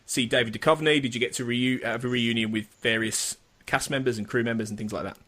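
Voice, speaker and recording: Podcast guy asking questions, restarts a lot, mid pitched, casual. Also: steady tone, fast talker, bright, forceful tone. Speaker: podcast host. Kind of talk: interview questions.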